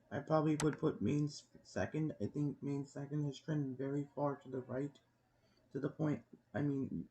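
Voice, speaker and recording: A young man giving casual election prediction commentary as a video host, in a subdued, hushed voice with a halting delivery. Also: mid-range voice, poor audio. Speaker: YouTube host. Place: at home. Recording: noticeable background noise, home recording.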